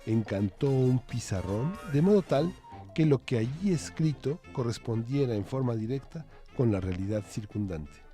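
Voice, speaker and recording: A man's voice: mid-range voice, lively intonation, moderate pace, mellow, soft background music. A man reading a story aloud expressively, with theatrical phrasing.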